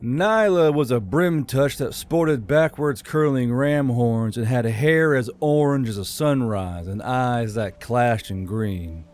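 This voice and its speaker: A man narrates a fantasy tale in a medium-pitched voice. He reads scripted prose as the host of his own storytelling channel. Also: darkish tone.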